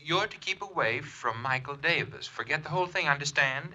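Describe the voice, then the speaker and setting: An actor playing an inept anonymous threatening caller in a 1940s radio mystery, hesitant and faltering. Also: male voice, a little high, lively intonation, a little fast, pushed and bright, heavily acted. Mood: nervous, threatening. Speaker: supporting actor.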